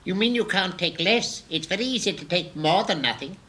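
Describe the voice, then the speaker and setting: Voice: mid-range voice, lively intonation, noisy background, lightly acted. An actor playing a brusque, argumentative mad hatter with a pompous, theatrical manner in a 1950s storybook recording. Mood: bossy. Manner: quick to contradict, pompous, theatrical.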